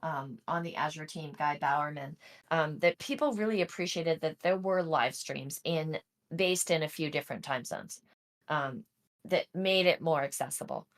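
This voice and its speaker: A woman's voice: sits low, normal speed. A woman hosting a podcast in a chatty, playful way. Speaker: podcast host. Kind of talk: casual podcast conversation.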